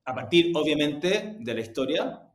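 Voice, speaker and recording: A man's voice: on the high side, very animated, moderate pace. A man with a Chilean accent answering interview questions reflectively, often rephrasing and qualifying what he says. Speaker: interview guest, podcast production executive. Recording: roomy, echoey sound.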